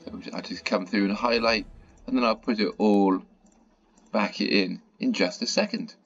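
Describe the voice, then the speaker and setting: A man with a low, croaky voice from a sore throat talks through a game, chatty and now and then mildly exasperated. The audio is a bit dull and lo-fi, with faint music underneath. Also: moderate pace, fairly bright tone, no room sound. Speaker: gaming channel host. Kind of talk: casual gameplay commentary.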